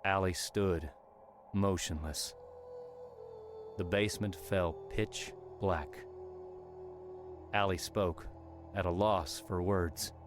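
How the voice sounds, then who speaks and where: A man narrates an audiobook with a measured, dramatic delivery. His voice sits low, and a music score plays clearly behind him. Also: steady tone. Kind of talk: scripted narration.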